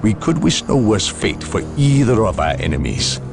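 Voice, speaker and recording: An actor playing an imperious, coldly polite inquisitor in a video game, deliberate and condescending in his speech. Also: lowish voice, very animated, creaky, music in the background. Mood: grave, urgent. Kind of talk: dramatic video game cutscene acting.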